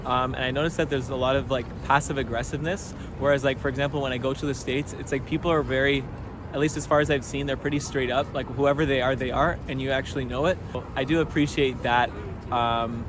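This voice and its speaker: Male interviewee, mid pitch, casual fluent delivery, street noise behind. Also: fast. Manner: relaxed, fluent with filler likes. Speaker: passer-by interviewee. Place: city street outdoors.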